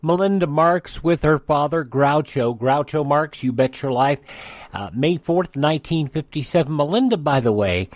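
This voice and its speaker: A man's voice: fairly high pitch, darkish tone, no room sound. Dry-sounding American male radio host. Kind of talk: conversational radio presenting. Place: dry studio.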